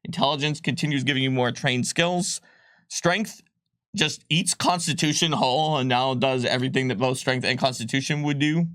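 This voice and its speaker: A man explaining tabletop game rules in a casual livestream chat, talking in quick bursts with ums and restarts. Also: medium pitch, a bit bright, no room sound, studio quality. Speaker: game designer co-host.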